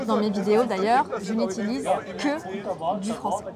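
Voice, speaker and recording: Twenties woman, french teacher, explains things clearly, street crowd noise in the background. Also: mid-range voice, normal speed. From Toulouse, southwest France. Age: 20s. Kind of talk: casual conversational interview.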